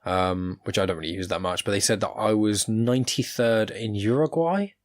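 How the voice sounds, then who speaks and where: Lowish-voiced English man hosting a chat. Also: normal speed. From England. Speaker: podcast host, interviewer. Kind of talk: casual chat.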